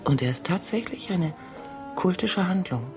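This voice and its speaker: An actress in a German radio play playing a seductive, self-assured exotic dancer and hostess with a coquettish, teasing manner. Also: female voice, fairly low pitch, lively intonation, moderate pace, close to an everyday voice. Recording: studio radio play with music behind.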